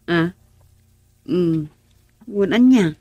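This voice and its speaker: A woman's voice: lowish voice, lively intonation, extremely slow, dark tone, no echo, highs cut off, exaggerated voice. A woman playing a sympathetic, concerned young village wife in a rural comedy radio drama.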